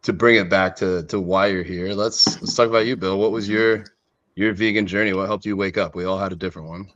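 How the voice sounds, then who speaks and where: A man's voice: low voice, fairly even intonation, brisk, fairly bright tone. A man hosting a podcast in a friendly way, steering the talk back on track with his guests. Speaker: podcast host. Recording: dull lo-fi audio.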